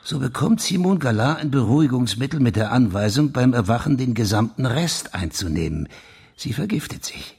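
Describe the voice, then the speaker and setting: Very husky, gravelly police official. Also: male voice, mid-range voice, animated, moderate pace, a little mellow, heavily acted. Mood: grave, deducing. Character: police official laying out his grim theory of a crime. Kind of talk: German crime radio drama acting.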